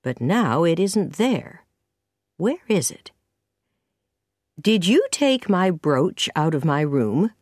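A woman voicing a stern but caring female guardian in a storybook, speaking in a firm, measured manner. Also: lowish voice, big pitch swings, a little mellow, no room sound, clean studio sound, heavily acted. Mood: puzzled. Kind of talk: storybook character voice.

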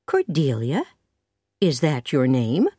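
A woman's voice: on the low side, big pitch swings, measured pace, a little mellow, no room sound, clean studio sound, full character voice. A woman voicing a stern, practical farmhouse woman in a storybook, with a firm, no-nonsense manner.

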